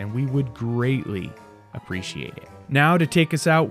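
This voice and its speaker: Theatrical male podcast host over music. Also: medium pitch. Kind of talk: scripted podcast narration with spooky flavour. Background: music behind the voice.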